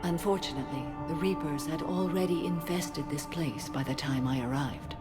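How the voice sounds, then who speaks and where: Solemn, low-voiced alien warrior woman acted by a woman. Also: lightly acted. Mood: solemn, composed. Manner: formal, measured. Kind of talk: video game dramatic acting. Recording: loud music behind.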